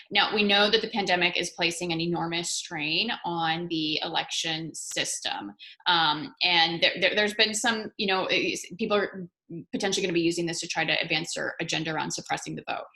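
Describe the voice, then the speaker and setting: A woman with an American accent hosts a conversational interview. Her voice sits a little low for a woman, yet it is clearly bright and forward as she lays out her questions. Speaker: interviewer and event host.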